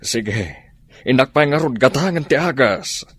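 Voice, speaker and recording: A man's voice: mid-range voice, expressive, a little slow, a bit bright, a little hiss or hum. An actor with a Filipino accent playing a courteous, charming businessman in a radio drama. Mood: apologetic. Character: courteous businessman eager to charm young college women. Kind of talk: Ilocano radio drama acting.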